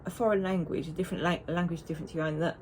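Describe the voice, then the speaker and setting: A woman's voice: fairly low pitch, steady tone, racing, a little mellow, a little hiss or hum. A woman, chatting casually and rambling to camera as a knitting vlogger. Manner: chatty and rambling, with frequent restarts.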